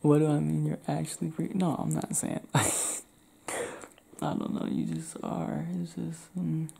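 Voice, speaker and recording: A young man from Cleveland doing an intimate ASMR roleplay, talking softly and often whispering, recorded close in a small indoor room. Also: on the high side, level tone, measured pace, gravelly, slightly rough. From Cleveland, Ohio.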